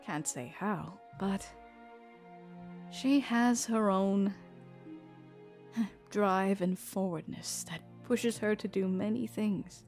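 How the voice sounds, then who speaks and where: A woman voicing a thoughtful young adventurer in an anime audio drama, phrasing things deliberately with pauses. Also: mid-range voice, a little mellow, soft background music.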